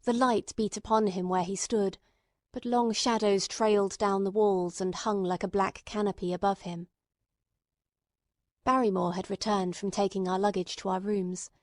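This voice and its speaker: A woman's voice: medium pitch, steady tone, normal speed, dry recording. A woman reading scripted literary narration as an audiobook narrator.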